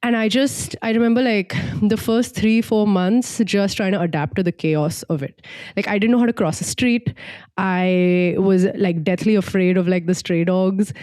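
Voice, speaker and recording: Young woman from singapore just chatting on a podcast, soft mellow tone, says like a lot, really dry close sound. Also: medium pitch, steady tone, moderate pace, no room sound. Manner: informal, peppered with "like". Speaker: podcast co-host. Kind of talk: casual reflective chat.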